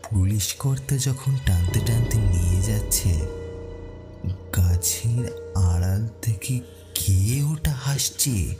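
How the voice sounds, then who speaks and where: A man narrating a horror story dramatically, with suspenseful pauses. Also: fairly low pitch, music in the background.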